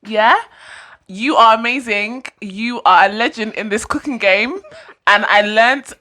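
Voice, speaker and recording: A woman's voice: fairly high pitch, a little slow, pushed and bright. A woman in her late twenties co-hosting a podcast, playful and dramatic in casual chat. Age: 20s. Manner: playful, dramatic, laughing asides. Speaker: podcast co-host.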